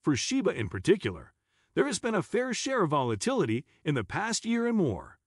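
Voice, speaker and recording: A man with a fairly high voice narrates a scripted explainer. His pitch swings up and down in a very animated way. The tone is husky, and the recording is close and dry. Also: a little fast, no echo.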